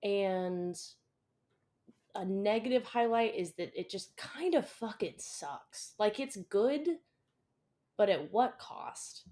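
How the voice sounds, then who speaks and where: A man's voice: high-pitched, animated, takes it slowly, a little mellow. Rambling, cursing podcast co-host man. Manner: rambling, swears freely, mock-indignant. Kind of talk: casual comedic podcast banter.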